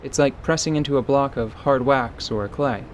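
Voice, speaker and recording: Male narrator for fantasy radio theatre, mid-pitched, measured literary delivery, clear background noise. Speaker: narrator of a tabletop role-playing audio drama. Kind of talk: scripted dramatic narration.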